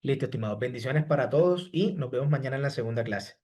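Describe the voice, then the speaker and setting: A man's voice: medium pitch, animated, fast talker, a little mellow, slightly rough. Man answering a live class chat. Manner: repeats "¿sí?" and "estimados" after each point; reads out chat questions and answers students by name. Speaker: online course instructor.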